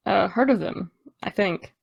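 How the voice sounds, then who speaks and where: A woman's voice: medium pitch, measured pace, creaky, breathy edge, no room sound, lo-fi sound, exaggerated voice. An actress playing a guarded, hungover teenage girl, dry, hesitant and defensive, in a naturalistic audio drama. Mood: tired, guarded.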